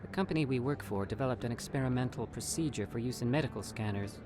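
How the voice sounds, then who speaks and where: An actor voicing an eager, evasive alien scientist in a video game, with a persuasive, self-justifying manner. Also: male voice, mid-range voice, quick pace, close to an everyday voice. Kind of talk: video game character acting.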